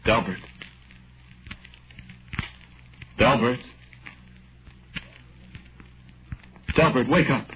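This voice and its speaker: A man's voice: medium pitch, expressive, a little fast, a little hiss or hum, natural voice, poor audio. An actor playing a haunted, wrongly condemned man, narrating dramatically and poetically in 1940s radio horror style. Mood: hesitant. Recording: vintage radio recording with loud music.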